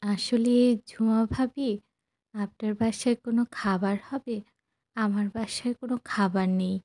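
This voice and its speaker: A woman's voice: on the high side, steady tone, unhurried, darkish tone. A woman playing a worried, dutiful village wife in a cartoon, plaintive and pleading. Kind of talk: cartoon voice acting.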